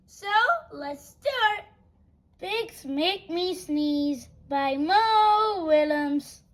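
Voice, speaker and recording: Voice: high voice, animated, drawn-out words. A child presenter reading a picture book aloud to viewers.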